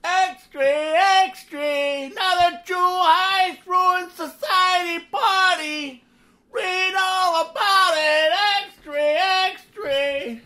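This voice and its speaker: Brief: woman, very high voice, slow delivery, shouting old-time newsboy calls over music. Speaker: radio drama cast member. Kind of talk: 1930s radio drama reenactment.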